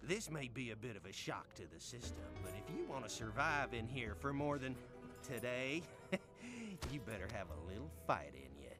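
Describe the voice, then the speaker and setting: A man's voice: mid-range voice, sing-song, normal speed, lightly acted. A man playing a corrupt prison warden in a video game, mock-friendly and sarcastic. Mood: mocking menace. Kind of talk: video game villain acting. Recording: game music behind the voice.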